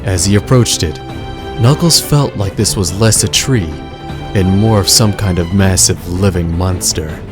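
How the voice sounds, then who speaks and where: A man narrating a scripted story in a measured, suspenseful, dramatic style. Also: deep voice. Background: loud music.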